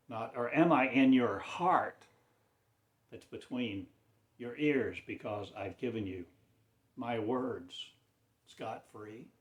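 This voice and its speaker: A man delivering a rambling spiritual monologue in a slow, drawn-out, cryptic declaiming manner. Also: medium pitch, darkish tone, reverberant.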